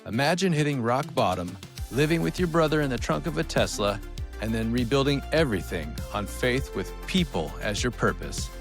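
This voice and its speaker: A man's voice: medium pitch, very animated, moderate pace, vocal fry, over music. A man hosting a podcast, welcoming a guest and reacting with short, upbeat remarks. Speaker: podcast host. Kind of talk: podcast interview host.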